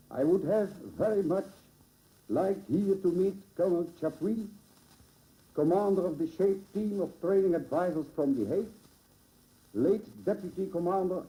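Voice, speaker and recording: A man from the Netherlands with a Dutch accent reads a formal, solemn ceremonial speech in measured, oratorical phrases, on an old radio recording. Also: high-pitched, mellow. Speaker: local dignitary giving a dedication address. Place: public ceremony at a monument dedication. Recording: old muffled 1950s radio broadcast recording.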